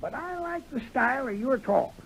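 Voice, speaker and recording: A very high-pitched, creaky old prospector, feisty and folksy, speaking American frontier dialect. His pitch jumps around in lively swings, as in an old radio western. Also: normal speed, heavily acted. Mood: wary, reasoning. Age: older. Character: stubborn, folksy old male prospector of the Old West. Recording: noisy old radio recording.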